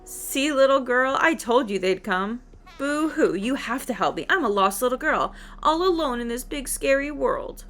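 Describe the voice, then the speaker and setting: A woman's voice: sits high, lively intonation, normal speed, fairly bright tone. A woman voicing a mock-innocent, taunting chaos villain who poses as a little girl in a fantasy game. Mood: mock pleading.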